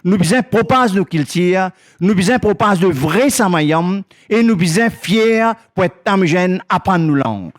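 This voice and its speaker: High-voiced man making an earnest announcement. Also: animated, a little slow, hardly any pauses. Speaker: project organizer announcing a launch. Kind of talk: spontaneous announcement in creole-tinged French.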